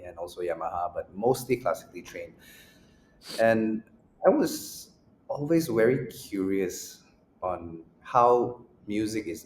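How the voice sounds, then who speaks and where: A man, a guest in a casual interview who answers in a measured, reflective way and lays out his points in order. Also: sits low, a little mellow. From Malaysia. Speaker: guest, music school founder. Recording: roomy echo with faint background noise.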